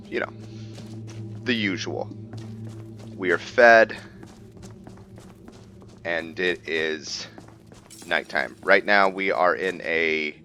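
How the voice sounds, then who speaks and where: A man's voice: low-pitched, sharp tone. A man hosting a gaming video, giving casual let's-play commentary as he plays.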